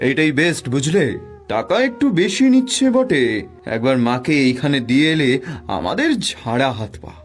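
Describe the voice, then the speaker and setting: A man's voice: fairly high pitch, big pitch swings, normal speed. A man playing a proud, brusque middle-aged father in a cartoon, speaking emphatically. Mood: insistent, callous. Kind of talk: Bengali cartoon voice acting.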